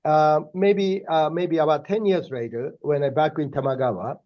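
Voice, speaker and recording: A man's voice: fairly high pitch, fairly even intonation, dull audio. Japanese-accented older man lecturing. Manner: thoughtful, halting, frequent fillers and restarts. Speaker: university professor giving a lecture. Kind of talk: lecture with personal anecdotes.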